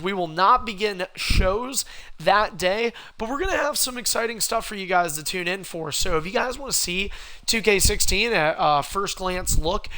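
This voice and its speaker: A man with a cold, sounding hoarse, hosting a game livestream and calling the action play-by-play like a wrestling announcer. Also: high-pitched, bright, forceful tone. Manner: wrestling-announcer calls, rising and punchy. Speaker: stream host and commentator.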